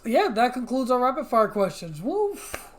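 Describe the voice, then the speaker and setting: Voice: mid-range voice, very animated, normal speed, slight background noise. A podcast host chatting casually in a rambling, informal way. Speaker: interview host.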